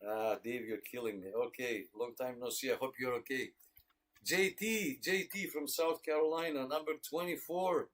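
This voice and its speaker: A man's voice: mid-range voice, steady tone, moderate pace, a bit bright. A man hosting a casual livestreamed radio club roll call, greeting and thanking listeners in a friendly way. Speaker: host of a radio club check-in net.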